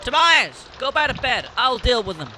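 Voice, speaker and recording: Very high, bright, sharp sibling acted by a man. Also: very animated, normal speed, slight background noise, exaggerated voice. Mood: stern. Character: sharp, hard-working sibling in charge of farmhouse. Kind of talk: amateur audio drama acting.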